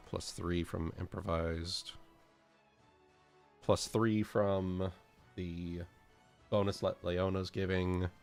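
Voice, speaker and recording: A man's voice: low voice, gravelly. A man playing a tabletop game, chatting casually, repeating short phrases and muttering sums aloud.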